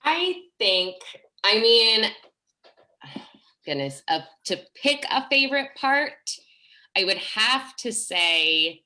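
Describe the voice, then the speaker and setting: A woman's voice: fairly high pitch, very animated, takes it slowly, bright, forceful tone. A woman speaking effusively and enthusiastically in a casual discussion, as a theater director and teacher.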